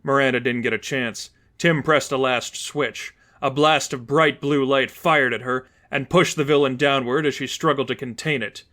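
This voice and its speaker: A man's voice: medium pitch, steady tone, normal speed, few pauses, bright, forceful tone. A man reading as narrator, delivering scripted fiction in a storytelling style.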